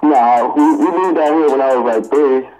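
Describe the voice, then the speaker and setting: A man's voice: medium pitch, normal speed, dark tone, soft background music, rough recording. Male rapper guest from Cuba, laid-back, clipped answers, slang. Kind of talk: casual interview.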